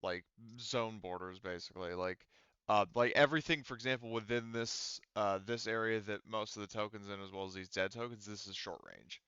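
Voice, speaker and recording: A man acting as game master in a tabletop roleplaying game, explaining casually and haltingly as he thinks aloud. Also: on the low side, fairly even intonation, bright, forceful tone, clean tone, no echo, dull audio.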